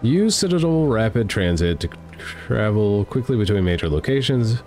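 A man's voice: lowish voice, very animated, normal speed, darkish tone, faint music behind. A man in his thirties with a stuffy nose from a cold, chatting enthusiastically while playing a game at home on a headset. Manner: enthusiastic, chatty, reads choices aloud. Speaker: let's play streamer. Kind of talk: casual gameplay commentary. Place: home room.

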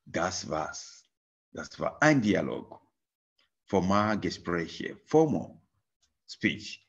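A man from Zambia teaching German, reading a practice dialogue for learners very deliberately with fillers over a webcam. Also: low voice, very animated, creaky, fairly bright tone, slightly rough, dull audio.

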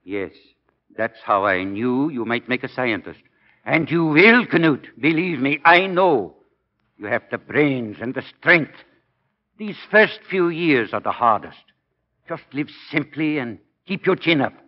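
An actor playing a gruff but fatherly priest and science professor in 1940s radio style, firm and measured. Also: medium pitch, lively intonation, natural voice. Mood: fatherly, encouraging. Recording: muffled 1940 radio broadcast.

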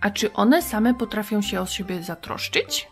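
A woman voicing a curious, friendly puppet creature, gently asking questions in a children's programme. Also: mid-range voice, lively intonation, normal speed, music in the background, close to an everyday voice.

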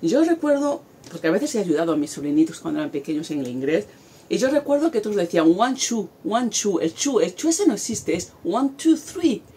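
A woman's voice: mid-range voice, very animated, fairly quick, dark tone, slight background noise. A woman in her forties from Spain with a Spanish accent, talking animatedly to camera outdoors in a garden and gesturing as she speaks. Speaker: vlogger. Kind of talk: casual talk to camera.

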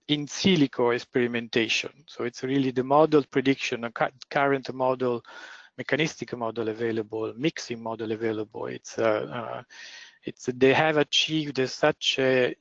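A man speaks into a computer mic on an online call, and the audio comes through dull and dry. His voice is loud and mid-pitched. He lectures haltingly, filling every gap with "uh" and starting sentences over. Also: vocal fry, no echo. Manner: hesitant, many fillers and restarts. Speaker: guest lecturer, process engineer. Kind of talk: webinar presentation.

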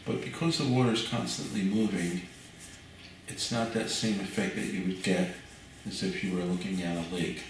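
An older man, giving a relaxed painting demonstration at his easel and thinking aloud with fillers and restarts. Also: low-pitched, moderate pace. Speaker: painting instructor. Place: art studio at an easel, indoors. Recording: very echoey room, mic far off, audible noise.

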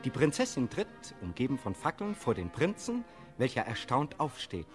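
A man's voice: mid-range voice, wildly swooping pitch, moderate pace, soft background music. A man reading scripted stage directions as the narrator of a radio play.

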